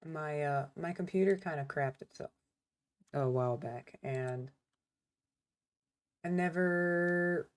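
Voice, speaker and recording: A woman's voice: sits low, takes it slowly, pauses often. A woman streamer giving casual gameplay commentary, rambling and talking to herself with lots of fillers. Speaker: small streamer.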